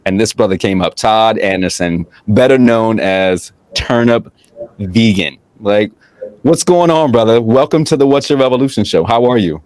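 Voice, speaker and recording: A man hosting an interview show, chatting in an animated, playful way, laughing often and repeating phrases. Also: on the low side, normal speed, no echo. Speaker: podcast host. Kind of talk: casual interview chat.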